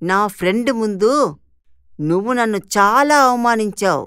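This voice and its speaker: A woman acts a middle-aged mother-in-law in a moral tale cartoon. The voice is resonant and clear at a medium pitch. It is recorded dry and close. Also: normal speed, fairly bright tone, no echo, natural voice. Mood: indignant. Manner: reproachful. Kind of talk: moral story cartoon dialogue.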